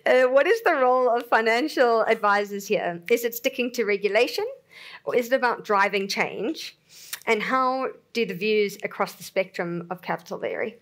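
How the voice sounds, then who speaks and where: A woman moderates a conference panel. She speaks briskly and in a friendly way, and hands over between speakers with frequent ums. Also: a little high. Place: conference hall.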